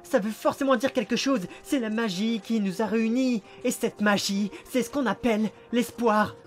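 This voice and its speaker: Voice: medium pitch, normal speed, darkish tone, lightly acted. A performer voicing a small talking creature, a cocky amnesiac detective with a sarcastic, dramatic, wisecracking manner, in a French film fan dub. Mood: earnest, hopeful. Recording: music behind the voice.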